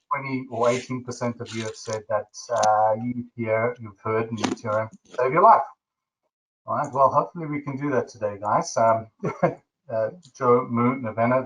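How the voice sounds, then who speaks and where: A man's voice: medium pitch, bright, forceful tone, slight background noise, poor audio. A man with a South African accent hosting a webinar, chatty and jokey with lots of fillers. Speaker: webinar host.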